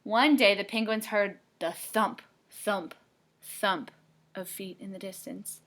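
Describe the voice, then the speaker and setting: A woman reading a picture book aloud to children, playful and dramatic, with expressive narration. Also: mid-range voice, takes it slowly. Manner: playful, dramatic, with hushed asides. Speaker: storybook reader for children.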